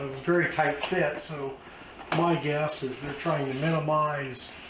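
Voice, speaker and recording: A hoarse man, hosting a casual unboxing vlog with plenty of fillers. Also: a little high. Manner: chatty, self-deprecating, many fillers. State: hoarse, losing his voice. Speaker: car channel host. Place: outdoors then indoors at home. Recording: echoey room with background noise.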